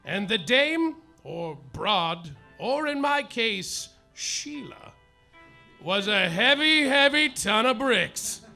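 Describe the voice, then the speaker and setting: A man playing a boozy, hard-boiled male private eye, narrating in a mock-noir deadpan that drags out its punchlines, live on a stage over music. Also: very high voice, slow, creaky. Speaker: comedic detective narrator. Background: live music and audience laughter.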